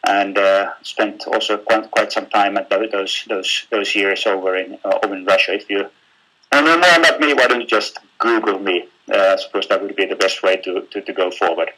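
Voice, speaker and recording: A man from Finland with a Finnish accent, giving a live webinar in a halting way, with many fillers and repeated words. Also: lowish voice, steady tone, bright, forceful tone, rough recording. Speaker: webinar speaker.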